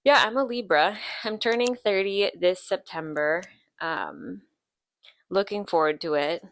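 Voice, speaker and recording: A woman's voice: lowish voice, unhurried, a bit bright. A woman in her late twenties hosting a podcast, chatting casually and rambling through tangents with lots of ums. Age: 20s. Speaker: podcast host. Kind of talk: casual chat.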